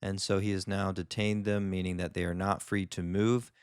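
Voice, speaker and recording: A man doing a calm legal commentary voice-over, explaining each point in a measured way. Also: low-pitched, clear, resonant tone, no room sound, studio quality. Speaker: lawyer commentator.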